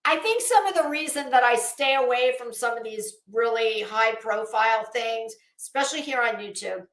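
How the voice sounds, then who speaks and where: A woman's voice: on the high side, moderate pace, a bit bright, reverberant. A woman hosting an opinionated livestream, speaking to viewers in a blunt, scornful, emphatic manner and repeating phrases for weight. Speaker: livestream host.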